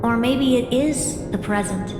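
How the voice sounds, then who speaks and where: Woman playing a slow, echoing, solemn oracle. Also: fairly high pitch, close to an everyday voice. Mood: grave, measured. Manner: measured, deliberate, cryptic. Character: solemn, enigmatic female oracle explaining fate to another. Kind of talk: dramatic video game story dialogue. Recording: echoey reverberant hall, music underneath.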